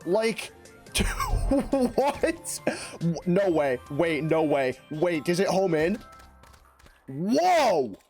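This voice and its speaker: Hoarse, excitable young gamer man. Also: high voice, faint music behind. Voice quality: raspy. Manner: excitable, slangy, fast-talking, rambling. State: hoarse from lost voice. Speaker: gaming YouTuber. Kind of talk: energetic gameplay commentary.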